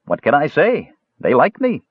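A man voicing a thin, joking male elf with a playful, gently sarcastic, emphatic manner in an audiobook. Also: on the high side, huge pitch swings, normal speed, husky, dry recording, dull audio, pitched above the performer's own voice, full character voice. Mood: wry. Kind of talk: audiobook character voice.

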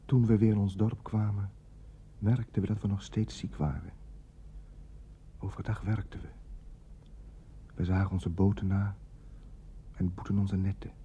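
An actor playing a sick, weary fisherman in a radio drama, telling his story gravely in a hushed, half-whispered voice. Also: lowish voice, flat delivery, a little slow, long pauses, lo-fi sound. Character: sick, weary fisherman from a poor village, survivor of atomic fallout. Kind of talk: slow radio drama monologue. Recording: noisy old radio play recording.

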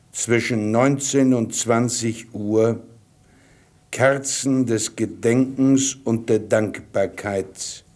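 Very slow, grave male speaker. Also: fairly low pitch, a little mellow. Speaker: city mayor. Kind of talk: solemn radio address.

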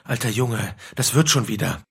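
An actor playing a gruff, blunt police officer in an audio drama, with a husky, gravelly voice. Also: male voice, medium pitch, expressive, moderate pace, over loud music. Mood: puzzled. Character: gruff, startled male police officer at crime scene.

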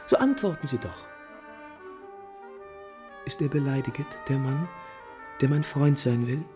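An actor playing an imperious, anxious, quick-tempered prince in a classical German radio drama, speaking theatrically in abrupt questions and commands. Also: male voice, a little high, close to an everyday voice. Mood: alarmed, impatient.

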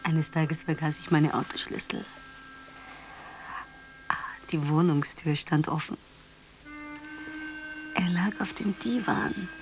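A woman's voice: fairly low pitch, a little hiss or hum, rough recording. An actress in a German radio play, playing a cynical, scheming young woman with a brazen, teasing manner that slips into whispers. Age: young adult.

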